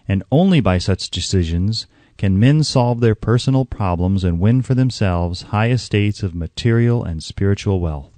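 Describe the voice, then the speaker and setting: Low-voiced man narrating an audiobook. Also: warm tone, no echo.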